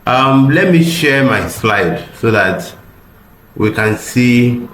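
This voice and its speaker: A middle-aged man with a slightly hoarse voice from a cold, giving an online customer service seminar in an earnest way and repeating words for emphasis. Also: mid-range voice, expressive, takes it slowly, fairly bright tone, echoey room, slight background noise.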